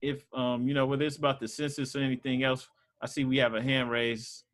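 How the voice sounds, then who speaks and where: A man's voice: mid-range voice, level tone, fairly quick, fairly bright tone. A man casually teaching a Sunday school group over a video call, talking loosely with lots of fillers. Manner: loose and conversational, many fillers.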